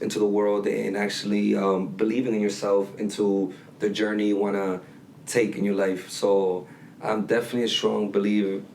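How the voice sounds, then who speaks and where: Young man, podcast co-host, laid-back, slangy, flat delivery, slight background hum. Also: fairly low pitch. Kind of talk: casual chat.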